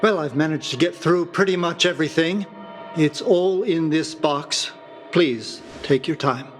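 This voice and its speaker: An actor playing a wistful man mourning a lost friend in a game, rambling gently. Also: fairly high pitch, a little slow, slight background noise, lightly acted. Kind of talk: game cutscene voice acting. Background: game soundtrack music.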